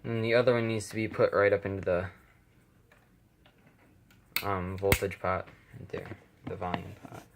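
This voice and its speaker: A man's voice: low voice, fairly even intonation, normal speed, vocal fry, pauses often. Hesitant man rambling over noisy recording. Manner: hesitant, rambling, self-correcting. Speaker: hobbyist vlogger. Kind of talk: casual, unscripted repair walkthrough. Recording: noisy handheld camera recording, clicks.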